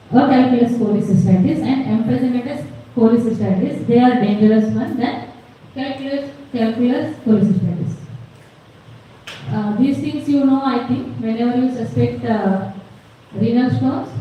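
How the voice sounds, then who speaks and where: A woman's voice: on the high side, dark tone. South Indian woman lecturing in an echoey room. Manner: questioning, then answering and explaining. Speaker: radiology lecturer. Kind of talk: medical lecture quizzing students. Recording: very echoey room, distant mic, faint noise.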